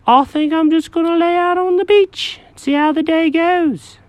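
A storyteller voicing a fussy, naive male cat who talks in a high squeak, with an excitable, bewildered manner. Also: normal speed, no room sound.

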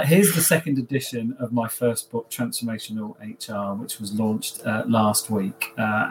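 A man's voice: on the low side, a little hiss or hum, low-quality recording. A man from England, a panel guest, introducing himself casually and upbeat, with many uhs.

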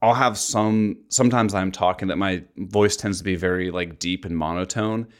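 Deep, low-pitched man chatting on a podcast. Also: steady tone, moderate pace. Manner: rambling, heavy use of "like". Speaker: podcast co-host. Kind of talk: casual podcast chat. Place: apartment. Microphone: voice mic a couple inches from mouth.

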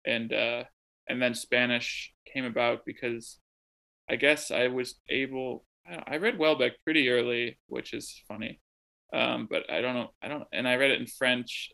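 A young American man from the Midwest, speaking as a guest over a remote connection from home. His voice has a gravelly, creaky edge with a bright, forward tone. He thinks aloud, circling back with ums and restarts. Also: mid-range voice, low-quality recording. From Midwest of the United States. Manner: thoughtful, rambling with many ums and restarts. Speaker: interview guest, translator. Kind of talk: casual conversational interview. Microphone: remote call from home.

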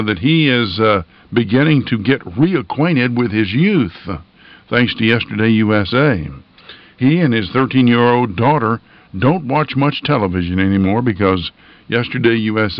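A man's voice: lowish voice, no room sound. A folksy, earnest man hosting a radio station, reading informal announcements and listener notes in a plain-spoken way. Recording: dull, band-limited lo-fi audio.

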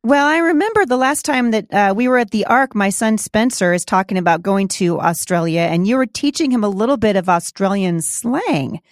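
A woman's voice: mid-range voice, lively intonation, normal speed, few pauses, no echo, clean studio sound. Upbeat middle-aged woman hosting a podcast. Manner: upbeat, encouraging, chatty. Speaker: podcast host.

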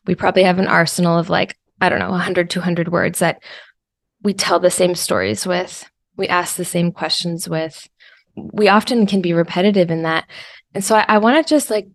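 A woman's voice: on the low side, creaky, dry recording, clean studio sound. A woman hosting a podcast, asking long, thoughtful questions in a searching way and using many fillers. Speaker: podcast host. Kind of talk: reflective interview conversation.

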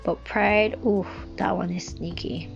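Jamaican woman, low and croaky, preaching in an informal, rambling style over background music. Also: steady tone, unhurried. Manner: earnest, rambling, with frequent fillers. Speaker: devotional speaker. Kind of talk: informal devotional talk. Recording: dull lo-fi recording with music behind.

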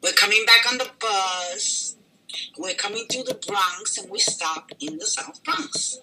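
An older woman, a singer, telling a story in an interview with lively, dramatic delivery and acted-out quotes. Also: mid-range voice, unhurried, very noisy. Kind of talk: storytelling in a conversation.

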